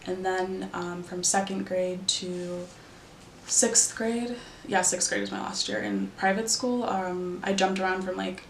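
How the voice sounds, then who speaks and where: Gravelly young woman rambling in an echoey room. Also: on the low side, a bit bright, a little hiss or hum. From Arizona, US. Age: young adult. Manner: rambling, many fillers. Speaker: interviewee. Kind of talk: casual recollection.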